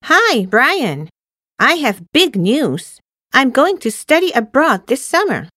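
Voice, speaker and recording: Woman acting cheerful high-voiced student girl, slow. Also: big pitch swings, pushed and bright, no echo, clean studio sound, heavily acted. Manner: carefully enunciated, textbook-like. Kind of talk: scripted English listening-test dialogue.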